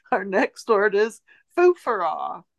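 A woman's voice: mid-range voice, big pitch swings, extremely slow, loud music behind the voice. A woman co-hosting a podcast, casually running a word quiz and chatting with the others. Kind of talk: casual chat, quizzing co-hosts.

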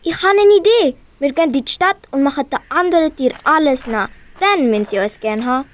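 A woman's voice: sits high, fairly quick, lightly acted. A young woman with a Swiss German accent playing a hungry, bossy wolf pack leader in an amateur radio play. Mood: eager.

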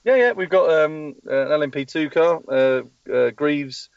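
A man's voice: fairly high pitch, expressive, normal speed, gravelly, no room sound, highs cut off. A man speaking as a motorsport team spokesperson in a live call-in interview, rambling with many ums and restarts, calling in from a race track. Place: at a race track testing session.

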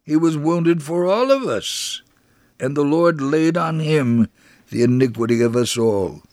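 A man giving a solemn, measured dramatic reading of a first-person devotional story. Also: fairly high pitch, lively intonation, a little mellow, no room sound, studio quality.

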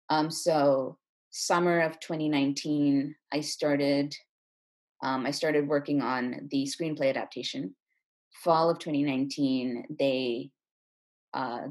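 A woman with a husky voice talking casually and enthusiastically as an interview guest, a writer explaining her work. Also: low-pitched, darkish tone, low-quality recording. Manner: enthusiastic, effusive. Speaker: playwright and screenwriter, interview guest.

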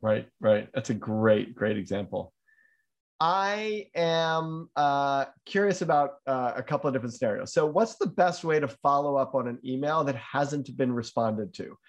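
A man's voice: medium pitch, animated, moderate pace. Man host thinking aloud, hedging his questions. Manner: thinks aloud with hedges and fillers, chimes in with frequent agreement. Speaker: interview host. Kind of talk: casual interview questions.

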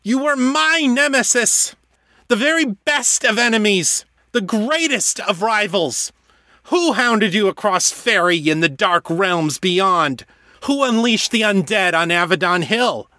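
A man doing an anguished, ranting dark lord. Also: medium pitch, lively intonation, slow, pauses often, slightly rough, no room sound, studio quality, full character voice. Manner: anguished ranting, breaking into sobs. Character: brooding male dark lord, grieving and volatile.

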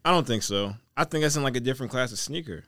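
Male panelist, American, forceful bright tone, animated intonation, agreeable casual chatter with hesitant phrasing. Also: medium pitch, quick pace, no echo, clean studio sound. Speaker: podcast panelist. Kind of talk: casual chat. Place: podcast studio.

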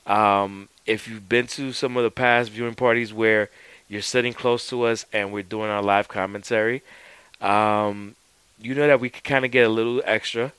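A man with a smooth, resonant voice talks through wrestling plans in a relaxed, unhurried way. The sound is close and dry. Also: on the low side, no room sound. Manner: laid-back, laughs often. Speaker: podcast co-host. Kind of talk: casual wrestling chat.

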